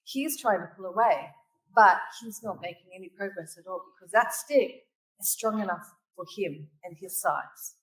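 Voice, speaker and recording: A woman from Australia with a mixed Australian and British accent, giving a conference talk and telling stories that she tags with "right?" and "okay?". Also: medium pitch. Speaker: conference speaker. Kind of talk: keynote presentation. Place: conference hall. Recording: echoey hall, voice from a distance.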